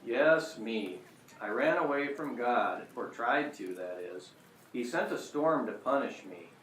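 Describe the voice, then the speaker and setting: A man acts out a repentant, brooding biblical prophet in an amateur radio play. He sits at a medium pitch and some way from the microphone, with clear room echo and a little background noise. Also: lively intonation, unhurried, fairly bright tone. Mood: friendly, sincere. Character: repentant but brooding male Hebrew prophet. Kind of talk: amateur audio drama acting. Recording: roomy, distant, noisy amateur recording.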